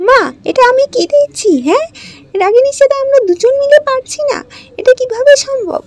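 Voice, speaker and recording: A woman doing a shrill, jealous young stepsister. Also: animated, moderate pace. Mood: whining. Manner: whiny, shrill, tattling. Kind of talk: cartoon voice acting.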